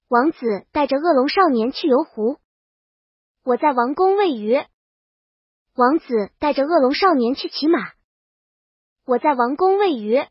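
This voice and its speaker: A woman's voice: sits high, no echo, dull audio. A woman reading a scripted first-person comic story as a princess, with dramatic, wry delivery. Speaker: narrator.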